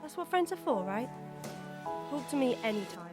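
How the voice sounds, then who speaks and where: A caring teenage schoolgirl, played by a young woman in an audio drama. Her voice is fairly high. She speaks gently and supportively, as a close friend would. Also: sing-song, moderate pace, loud music behind the voice. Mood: sympathetic. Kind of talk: scripted audio drama dialogue.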